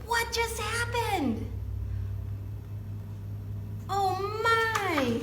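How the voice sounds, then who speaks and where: A woman hosting a children's storytime, reading aloud in an animated, exaggerated way and prompting young listeners with questions. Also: very high-pitched, very slow. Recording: roomy echo with background noise.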